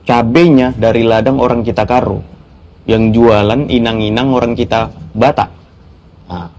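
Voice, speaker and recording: A man's voice: lowish voice, darkish tone. A man, chatting casually to camera in local slang.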